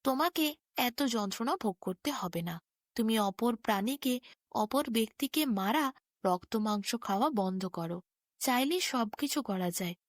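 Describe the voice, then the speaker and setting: A woman voicing a gentle, wise young prince who speaks in an earnest, measured way, as in a storybook. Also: on the high side, animated, no echo, clean studio sound. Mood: earnest, advising. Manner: earnest, measured moral counsel.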